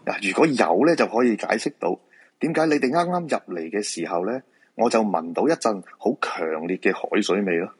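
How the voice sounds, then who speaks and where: A man acting as a feng shui master in a radio play speaks Hong Kong Cantonese in a low, husky voice. He explains patiently and politely, as if to soothe a worried client. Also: lively intonation, a little fast. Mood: calm, reassuring.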